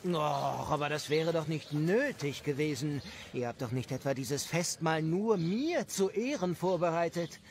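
Storybook count, played by a man: gushing and courtly, swooping intonation, unhurried. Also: a little high, animated, slight background noise. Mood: modest. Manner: effusive, drawn-out courtly phrasing. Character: gushing, oily, genteel male count.

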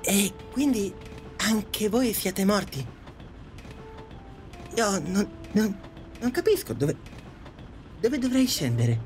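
A woman's voice: mid-range voice, very animated, slow pace, long pauses, a little mellow, faint music behind. A woman voicing a bewildered, polite male taxi driver in an audio drama, hesitant and stammering. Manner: hesitant, stammering, repeating himself.

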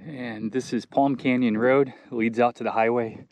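A man's voice: medium pitch, fairly even intonation, background noise. Reflective man vlogging on a desert trail. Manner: musing, unhurried, pausing mid-sentence. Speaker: thru-hiker vlogger. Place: outdoors in a desert canyon. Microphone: action camera held at arm's length.

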